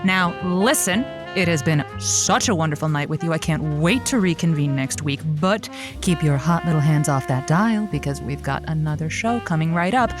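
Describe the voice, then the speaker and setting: A woman's voice: deep voice, normal speed, over music, natural voice. A woman playing a flirty, encouraging late-night radio advice hostess with playful, breezy patter in a comedy audio drama. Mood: flirty upbeat.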